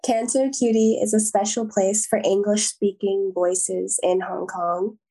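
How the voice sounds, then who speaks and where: A woman's voice: medium pitch, warm tone, low-quality recording. An American woman speaking articulately and earnestly as a zine founder in a thoughtful interview.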